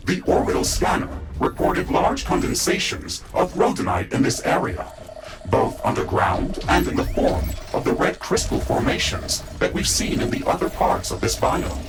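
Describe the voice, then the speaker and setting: A man playing a formal, dutiful mech-suit AI in a video game, with a measured, synthetic, robot-processed delivery. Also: sits high, wildly swooping pitch, creaky, full character voice, low-quality recording. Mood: dutiful, informative. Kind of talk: scripted video game dialogue. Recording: music bed behind processed voice.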